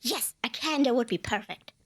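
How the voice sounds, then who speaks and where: Woman acting as small cute demon girl, high voice, swooping intonation, nervous, bubbly, salesy, polite, ASMR roleplay. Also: expressive, moderate pace. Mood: eager salesmanship. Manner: hesitant stammering, bubbly, over-polite. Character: cute little female demon, eager, nervous, cheekily salesy.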